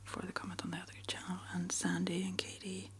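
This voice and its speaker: A woman speaking in a gentle, hesitant, rambling way, as an ASMR creator chatting to her viewers. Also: fairly low pitch, completely flat delivery, quick pace, slight background noise. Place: small upstairs apartment.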